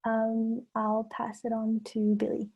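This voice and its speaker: A woman's voice: a little high, monotone, slow, breathy edge, dull audio. A woman from Japan briefly and politely introducing herself as a panelist.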